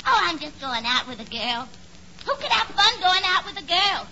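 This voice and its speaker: A woman's voice: very high voice, big pitch swings, moderate pace, full character voice. An actress playing a brassy, catty, man-crazy young woman in a 1940s radio comedy, blunt and mock-genteel. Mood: glum. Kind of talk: 1940s radio sitcom acting. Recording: muffled, noisy 1940s radio broadcast.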